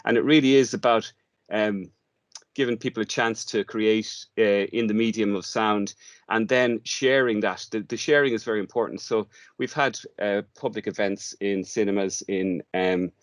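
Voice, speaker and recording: A man's voice: fairly low pitch, steady tone, normal speed, dry recording. A man, a theatre director, explaining his work as an interview guest, hesitantly, thinking aloud in fragments.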